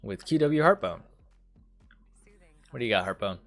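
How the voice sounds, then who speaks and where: A man's voice: on the low side, lively intonation, moderate pace. A man running a tabletop roleplaying game as game master, giving rulings in casual talk.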